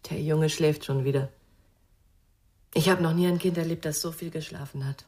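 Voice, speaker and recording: Concerned female minder by actress for radio play: deep register, soft warm timbre, natural delivery. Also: fairly even intonation, normal speed, natural voice. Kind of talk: German radio crime drama acting.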